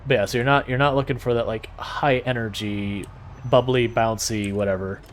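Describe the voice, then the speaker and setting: Soft-spoken man with a soothing voice. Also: mid-range voice, normal speed, a little hiss or hum. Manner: relaxed, rambling, often restarting sentences. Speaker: game streamer. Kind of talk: casual chat with stream viewers.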